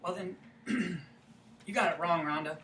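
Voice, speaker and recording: A woman playing a persistent folksy suitor, bright voice. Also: on the low side, unhurried. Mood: reassuring. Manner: insistent, folksy, repetitive. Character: persistent, teasing small-town suitor, casual and coaxing. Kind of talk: staged play reading. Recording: roomy, distant microphone, faint noise.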